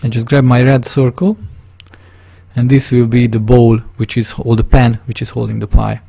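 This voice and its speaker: A man from Hungary with a Hungarian accent, narrating a drawing tutorial in a casual, halting way, searching for words and correcting himself. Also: medium pitch, little pitch movement, creaky, warm tone, breathy edge.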